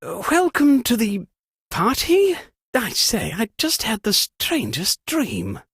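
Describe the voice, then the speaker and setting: An actor playing a pompous, flustered aristocratic British lord in a video game, with a theatrical, fussy delivery. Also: male voice, high voice, wildly swooping pitch, slow, a bit bright, slightly rough, exaggerated voice. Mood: flustered hosting. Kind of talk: video game character voice acting.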